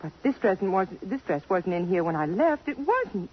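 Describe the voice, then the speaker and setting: A woman's voice: mid-range voice, big pitch swings, moderate pace, slight background noise, lightly acted. An actress playing a bewildered, indignant young career woman in a 1950s radio drama. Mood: flustered, dismayed. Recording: muffled 1950s radio recording.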